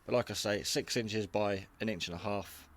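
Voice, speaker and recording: A man's voice: fairly low pitch, moderate pace, slight background noise. A man giving a casual, unscripted hobby radio review in a rambling, matter-of-fact, modest way.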